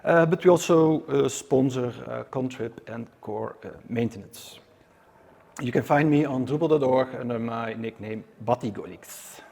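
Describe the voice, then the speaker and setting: A man's voice: mid-range voice, normal speed, gravelly, darkish tone. A man from the Netherlands with a Dutch accent, giving a live conference talk to an audience in a hesitant way, with many filler sounds and restarts. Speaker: conference speaker. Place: conference room.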